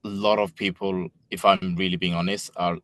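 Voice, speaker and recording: A man's voice: sits low, flat delivery, a little fast, fairly bright tone. A middle-aged man, co-founder of a tech company, answers interview questions in an earnest, explanatory way, often restarting his sentences. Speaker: company co-founder and product head.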